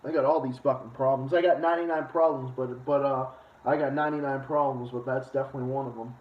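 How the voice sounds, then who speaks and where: A man's voice: medium pitch, steady tone, fast. A man co-hosting a casual livestream show, talking in a crude, rambling and repetitive way. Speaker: co-host. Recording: echoey room, dull lo-fi sound.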